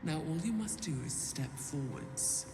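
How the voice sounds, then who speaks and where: Regal game goddess, low and slow, coaxing, huge reverb w music. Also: female voice, expressive, noisy background. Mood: coaxing, satisfied. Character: dark goddess, assured and coaxing her follower. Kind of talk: video game voice acting. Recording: cavernous long reverb, music behind.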